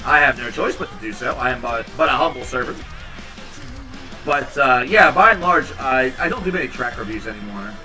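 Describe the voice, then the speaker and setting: A man in his thirties hosting a home livestream, chatting casually with viewers in a rambling, low-key, tired way. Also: lively intonation, fairly quick, pushed and bright, far from the mic, soft background music. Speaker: livestream host reading chat. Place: small home room indoors. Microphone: headset.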